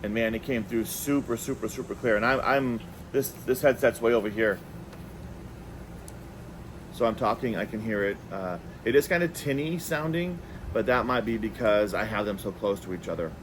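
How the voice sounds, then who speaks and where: A man giving a casual hands-on product review, talking in a halting way and repeating words as he goes. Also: fairly low pitch, animated, background noise.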